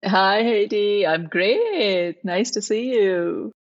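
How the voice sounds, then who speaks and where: Woman, reflective guest, frequent ums, muffled lo-fi call audio, mid pitch. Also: takes it slowly. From India. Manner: reflective, many filler ums and uhs. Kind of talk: conversational storytelling. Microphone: video call.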